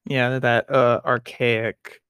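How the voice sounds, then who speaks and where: A man's voice: mid-range voice, level tone, slow pace, a bit bright, clean tone, dry recording, studio quality. Reflective man musing on a podcast. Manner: musing, reflective, heavy on "like" and fillers. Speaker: podcast co-host, actor. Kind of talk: casual podcast chat.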